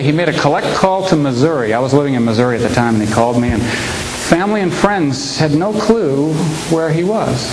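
A man in his fifties giving a humorous speech to a live audience, telling stories with timed pauses and punchlines. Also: on the high side. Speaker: humorist speaker. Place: large hall with an audience. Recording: echoey hall recording with hiss.

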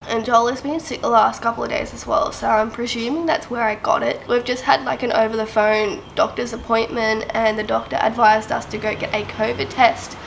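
A woman's voice: on the high side, fairly even intonation, fairly quick, creaky, few pauses, slight background noise, rough recording. Nasal, stuffed-up young woman vlogging. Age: young adult. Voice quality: nasal, congested. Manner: chatty, rambling, many fillers like "um" and "I mean, like". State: sick with a blocked nose and sore throat. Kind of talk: casual to-camera vlog talk. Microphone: camera close up at arm's length.

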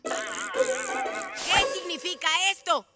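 Snooty kid ninja bad guy, high voice, indignant and dramatic, latin american spanish cartoon, loud music. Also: animated, drawn-out words, exaggerated voice. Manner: indignant, melodramatic. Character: haughty, indignant young boy ninja villain. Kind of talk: animated children's series dub.

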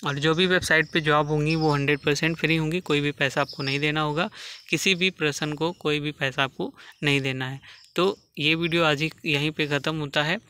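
A man talks through a job listing as voice-over for a screen recording. His voice is very bright and clean. He explains things plainly and drops in the occasional filler. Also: fairly high pitch, fairly even intonation, fairly quick, clear, resonant tone. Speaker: job vacancy channel host.

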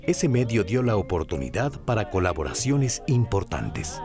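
A man's voice: lowish voice, sing-song, normal speed, over loud music. A man with a Mexican accent reading scripted documentary narration.

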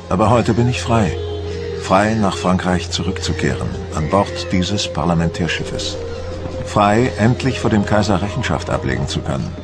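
A proud French admiral looking back on defeat in a documentary drama. His voice sits deep, and he speaks with solemn, theatrical deliberation and bitterness. Music plays clearly underneath. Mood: bitter, solemn.

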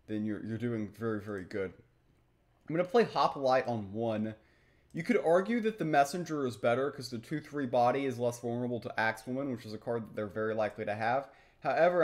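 A man's voice: mid-range voice, a little fast. A young man giving casual, explanatory game commentary in a rambling, matter-of-fact way. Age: young adult. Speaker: gaming video host. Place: small home room, indoors.

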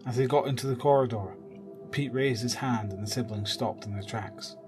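A man's voice: medium pitch, normal speed, music in the background. A man narrating a scripted horror audio drama.

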